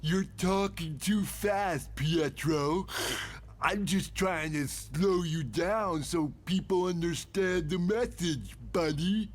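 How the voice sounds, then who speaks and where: Man playing slow, big laid-back mutant sidekick. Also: high voice. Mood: placid. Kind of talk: motion comic voice acting.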